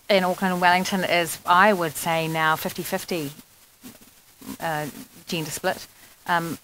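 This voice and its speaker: A woman comedian answering an audience question on a panel, relaxed and full of ums. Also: lowish voice, slight background noise, rough recording.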